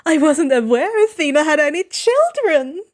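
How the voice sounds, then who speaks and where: Lady doing a coy trickster god guy, high n sing-songy, friendly but kinda unhinged, storybook style. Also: very animated, moderate pace, a little mellow. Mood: coy, teasing. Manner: coy, chirpy, sing-song. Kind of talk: fan fiction character reading.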